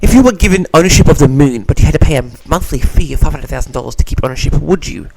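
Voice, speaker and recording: A man with a fairly high voice and very lively, swinging intonation hosts a casual question-and-answer log. He reads questions aloud and replies theatrically, with a mock-grand air, addressing his listeners directly. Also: quick pace, gravelly, noisy background. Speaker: host of an audio log answering audience questions.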